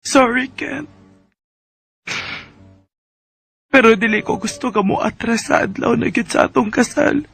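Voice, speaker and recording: An actress playing an emotional, reproachful young jilted bride with a Filipino accent in a radio drama, with music underneath. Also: female voice, fairly high pitch, moderate pace, vocal fry, fairly bright tone, breathy edge, heavily acted. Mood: apologetic, sad.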